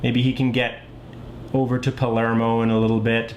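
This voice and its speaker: A man's voice: medium pitch, little pitch movement, moderate pace, a little mellow, a little hiss or hum. A man giving a casual tutorial, thinking aloud step by step and often checking in as he goes. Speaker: board game designer demonstrating his game.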